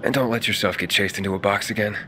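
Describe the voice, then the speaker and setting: A calm, dry-spoken wanderer. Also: male voice, on the low side, fast, a little hiss or hum. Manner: calm, matter-of-fact. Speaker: messenger, player character. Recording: cutscene with faint score music.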